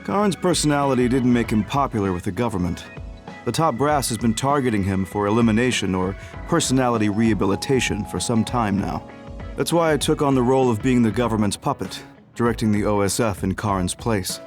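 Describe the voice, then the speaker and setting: An actor playing a composed, secretly rebellious major general in a video game, speaking in a measured, formal and authoritative way. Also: male voice, medium pitch, animated, faint music behind, natural voice. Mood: confiding, resolute.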